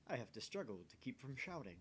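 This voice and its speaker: A man's voice: mid-range voice, big pitch swings, fast, a bit bright, slightly rough. A man reading narration aloud for a voice-acted game playthrough. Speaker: visual novel let's player reading aloud.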